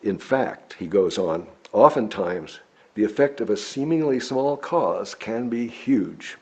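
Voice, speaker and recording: Husky American man reading a sermon. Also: fairly low pitch, lively intonation, slow pace, highs cut off. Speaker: lay speaker reading a sermon. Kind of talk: sermon read from a script.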